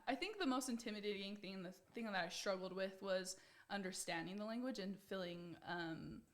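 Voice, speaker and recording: A woman's voice: medium pitch, animated, fairly quick. A young American woman giving conversational interview answers in an earnest, reflective way. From United States. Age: young adult. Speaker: pageant titleholder being interviewed.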